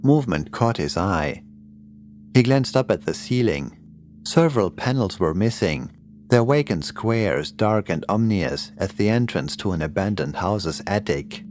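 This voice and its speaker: Male horror narrator, lower-pitched, scripted storytelling delivery, clear music bed underneath. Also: animated, measured pace, darkish tone. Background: creepy music and sound effects.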